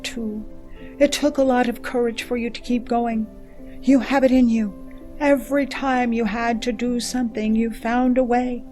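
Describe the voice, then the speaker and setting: Woman as female starship computer, caring, measured, plain-spoken, slightly high pitch, audio drama. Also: darkish tone, natural voice. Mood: gently encouraging. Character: caring female ship computer reading out a mother's message. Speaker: voice actor. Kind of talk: audio drama, reading a letter aloud.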